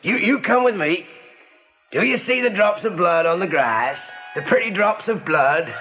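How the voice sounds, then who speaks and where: A man plays a sinister rustic farm man from a bad dream in a radio drama. He is sly, folksy and taunting, his pitch swinging up and down as he coaxes. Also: lively intonation, measured pace, pushed and bright, faint music behind, heavily acted. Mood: eerie coaxing.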